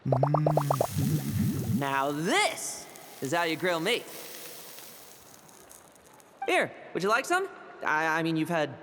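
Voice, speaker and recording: A man voicing a sly young knight in a video game, teasing, theatrical and polite, with a smooth undercurrent of menace. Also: fairly high pitch, very animated, takes it slowly, long pauses, noisy background, full character voice. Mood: sinister playfulness.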